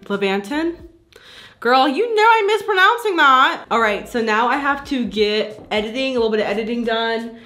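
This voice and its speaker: A woman's voice: fairly high pitch, animated, normal speed, bright, forceful tone, soft background music. A woman in her twenties talking casually to camera in a vlog, joking and laughing often, filmed at home at arm's length. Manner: chatty, joking, frequent laughs. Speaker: vlogger. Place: home room and car. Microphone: camera or phone at arm's length.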